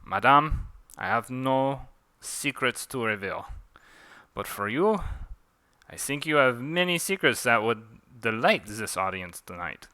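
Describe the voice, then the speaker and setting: A man's voice: medium pitch, lively intonation, unhurried, pushed and bright, smooth, dry recording. A man voicing a taunting, theatrical French vampire duelist with a French accent and grandiose, mockingly courteous manner.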